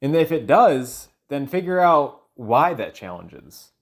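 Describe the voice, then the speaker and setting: A man's voice: on the high side, very animated, slow. A man thinking aloud in a loose solo monologue, hesitant and often trailing off as he searches for words. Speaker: solo audio log creator.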